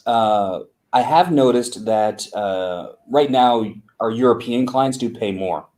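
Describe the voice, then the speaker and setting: A man co-founder of an agency, answering interview questions in an unhurried way with many fillers and restarts. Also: medium pitch, a little mellow.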